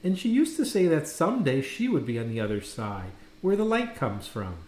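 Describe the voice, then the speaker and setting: A man reading a children's picture book aloud with expressive storytelling, recorded in an echoey room. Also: on the high side, normal speed.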